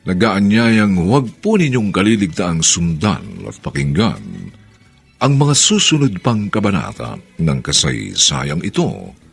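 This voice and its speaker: A man announcing a radio drama in a slow, dramatic way. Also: deep voice, very animated, vocal fry, breathy edge, soft background music.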